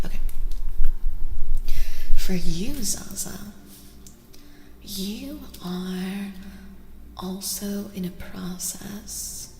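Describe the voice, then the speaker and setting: Soft breathy asmr lady doing tarot, kinda creaky, slow, super echoey. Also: medium pitch. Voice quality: soft, breathy, often whispery. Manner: gentle, soothing, repeats phrases slowly. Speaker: tarot-reading streamer host. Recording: long echoing reverb.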